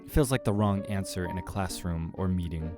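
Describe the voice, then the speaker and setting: Low-pitched man narrating horror fiction. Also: a little fast, music in the background. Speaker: game player reading aloud. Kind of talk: scripted first-person horror narration.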